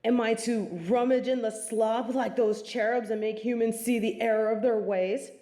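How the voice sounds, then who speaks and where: A woman's voice: on the high side, steady tone, normal speed, dark tone, natural voice. A woman playing a snobbish, indignant fallen angel in a theatrical audio drama, speaking with haughty disdain. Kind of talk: dramatic audio roleplay acting.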